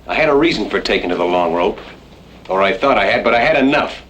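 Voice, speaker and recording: A stern, weary western outlaw. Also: male voice, lowish voice, animated, fast talker, a bit bright. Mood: firm, fed up. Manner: blunt, serious, terse. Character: stern, weary rancher turned outlaw, fed up. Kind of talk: old-time radio western acting. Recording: noisy old radio drama recording.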